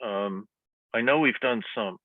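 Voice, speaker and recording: A man's voice: lowish voice, measured pace, dry recording. A man in an informal technical teleconference, speaking hesitantly and questioningly as a researcher. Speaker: researcher in a group technical call. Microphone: teleconference connection. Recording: narrow tinny phone-like line, dry.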